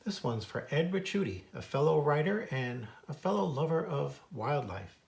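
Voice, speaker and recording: A man's voice: a little high, very animated, moderate pace, creaky, darkish tone, a little hiss or hum. An American man reading a children's book aloud as its author and naturalist, adding informal asides about wildlife. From United States. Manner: imitates bird calls in a singsong.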